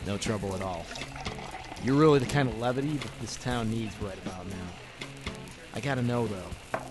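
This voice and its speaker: An actor playing a young, friendly speakeasy owner, chummy and easygoing, in old-time radio style. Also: male voice, fairly low pitch, normal speed, over loud music, lightly acted. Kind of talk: 1940s radio acting.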